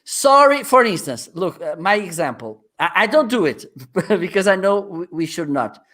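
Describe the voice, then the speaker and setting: A man from Portugal with a Portuguese accent hosting a live interview in a second language, halting and often restarting, and he laughs easily. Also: high-pitched, sing-song, a bit bright. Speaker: host.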